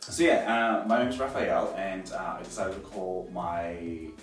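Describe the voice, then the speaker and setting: A young Korean-born man talks to his camera in a casual, rambling way, filling gaps with ums and uhs. His voice sits low and lands from a little way off the mic. The room rings with a strong echo, and soft music plays underneath. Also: fairly even intonation, measured pace, recorded from a distance. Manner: rambling, self-conscious, many fillers. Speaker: DIY vlogger. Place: echoey room alone with a camera.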